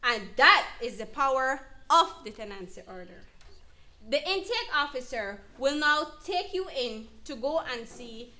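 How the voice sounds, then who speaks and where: A woman's voice: sits high, expressive, unhurried, bright, forceful tone, heavily acted. A woman playing an earnest, emphatic advocate who counsels an abused mother in an educational dramatization. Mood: earnest, firm. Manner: emphatic, instructive. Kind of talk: educational dramatization, deliberate explanatory delivery.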